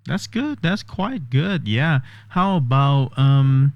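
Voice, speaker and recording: A man's voice: mid-range voice, animated, slow, gravelly, dry recording, dull audio. A man vlogging a test of an AI voice assistant, explaining step by step and thinking aloud. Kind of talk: casual tech demo commentary.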